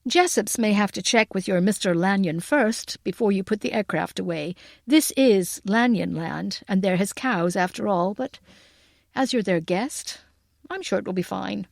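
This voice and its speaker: A woman's voice: medium pitch, normal speed, creaky, dry recording, clean studio sound. Audiobook role: courteous, easygoing American man of the countryside , played by a woman, dryly recorded. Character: polite, relaxed American countryman, amused and appreciative.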